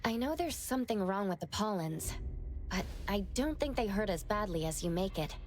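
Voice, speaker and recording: A woman's voice: medium pitch, animated, moderate pace, a little hiss or hum, close to an everyday voice. A woman playing an earnest, perceptive young investigator in a game cutscene, explaining what she senses intently. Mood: earnest, explanatory. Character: earnest, perceptive young female anomaly sensor. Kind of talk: game cutscene dialogue acting.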